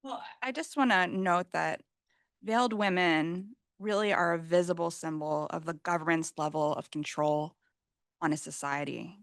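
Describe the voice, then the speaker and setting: Woman on a panel, kinda low, gravelly fry, dry sound, measured. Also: no echo. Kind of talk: panel discussion.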